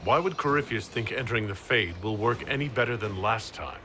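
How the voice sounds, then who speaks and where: An actor voicing a resolute, diplomatic military leader in a fantasy video game, firm and direct. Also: male voice, on the low side, fairly quick, bright, forceful tone, a little hiss or hum. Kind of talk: dramatic video game acting. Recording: long hall reverb, music underneath.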